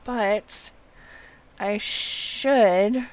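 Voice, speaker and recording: A woman's voice: mid-range voice, very slow. A woman livestreaming a video game, chatting casually and murmuring half to herself as she plays. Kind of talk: casual game playthrough commentary, reading dialogue aloud. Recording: faint background noise.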